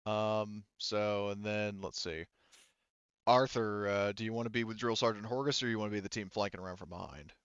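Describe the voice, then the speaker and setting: A man's voice: fairly low pitch, steady tone, brisk, a bit bright, clear, resonant tone, no echo. Emphatic man running a game, slightly muffled. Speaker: game master of a tabletop roleplaying game. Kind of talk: casual tabletop game talk, explaining rules and options.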